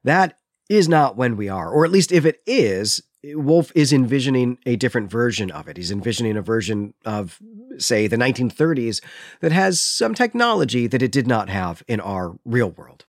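A man's voice: medium pitch, sing-song, normal speed, no echo, clean studio sound. A man co-hosting a literary podcast, recapping a story in an orderly, explanatory way. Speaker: podcast co-host.

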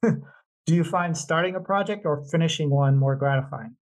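A man's voice: fairly high pitch, normal speed. A man with an American accent interviewing a guest casually as podcast host, informal, agreeable and encouraging.

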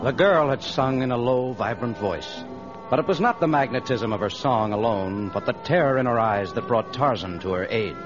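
A man's voice: mid-range voice, lively intonation, moderate pace. A man announcing in dramatic, scripted 1930s radio narration style. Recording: dull old radio transcription. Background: orchestral music.

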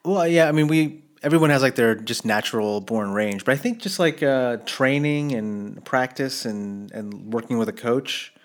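A man's voice: medium pitch, normal speed, few pauses. Rambling man interviewer, full of fillers. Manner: hesitant, rambling, many fillers. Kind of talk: casual interview chat.

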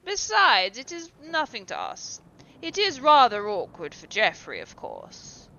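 A woman voicing a cynical aristocratic gentleman who talks in an airy, drawling, dismissive way. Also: on the high side, sing-song, measured pace, highs cut off, voice pushed up. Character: languid, cynical older male aristocrat.

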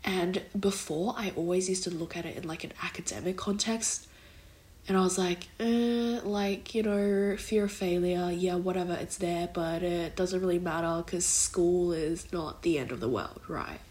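A woman's voice: fairly low pitch, fairly even intonation, moderate pace, a little mellow. Nasal, congested young woman podcaster. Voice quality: nasal, blocked nose. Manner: rambling, heavy use of "like" and "kind of". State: recovering from illness, congested. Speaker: podcast host. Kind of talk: casual solo podcast chat.

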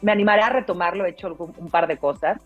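A Mexican woman in her thirties with a deep, full-bodied voice, telling personal stories casually as an interview guest, in an expressive way. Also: racing. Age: 30s. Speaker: interview guest, radio announcer. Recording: dull lo-fi sound, music underneath.

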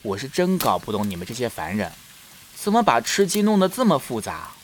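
A man playing a shy, naive young fox spirit in human form in a period romance audio drama, soft and hesitant, stammering when flustered. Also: medium pitch, wildly swooping pitch, a little fast, a little hiss or hum. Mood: playful.

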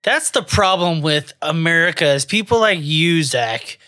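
A man's voice: a little high, lively intonation, a little slow, sharp tone. A man, hosting a podcast with crude, joking, profane banter. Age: 30s. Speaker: podcast host.

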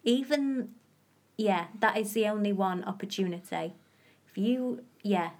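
A woman's voice: mid-range voice, unhurried, darkish tone. A woman co-hosting a podcast in casual chat, teasing her partner playfully. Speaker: podcast co-host.

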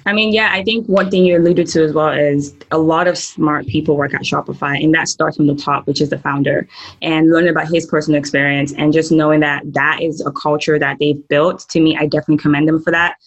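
A woman's voice: sits low, fairly even intonation, a little fast, dull audio. A young woman from Nigeria, a guest in a casual interview, talking reflectively with many fillers.

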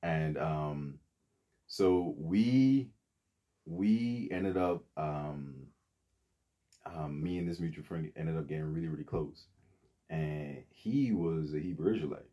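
A man's voice: rumbling low, slow, dark tone. A young man from the Bahamas, a podcast guest telling his story in a rambling, casual way with many fillers and restarts.

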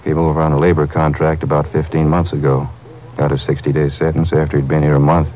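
A plainspoken lawman with a very low voice, practical and easygoing. His clipped old-time radio delivery sounds dull in the recording. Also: little pitch movement, fast. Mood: matter-of-fact. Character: easygoing, practical Texas lawman, investigator's partner. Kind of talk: 1950s radio acting.

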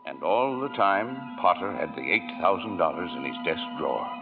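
An actor playing a calm, authoritative heavenly angel in a 1940s radio drama. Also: male voice, low-pitched, normal speed. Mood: calm, explaining. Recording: muffled old radio, loud music behind.

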